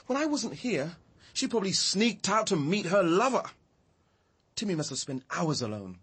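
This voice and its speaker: A grieving, bitter Englishman in a radio play. His voice is rough and breath-worn, and its pitch moves widely. He sounds brooding, wounded and muddled. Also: very animated, moderate pace, fairly bright tone, exaggerated voice. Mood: bitter, hurt. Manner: brooding, emotional. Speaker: actor in a radio drama. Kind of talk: naturalistic radio drama dialogue.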